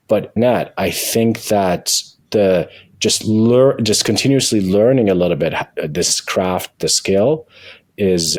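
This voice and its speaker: A man with a husky voice speaking as a guest in an interview, explaining things in a halting way with frequent restarts. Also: low-pitched, no echo. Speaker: guest, tech company founder and CEO. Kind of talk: interview conversation with software demo.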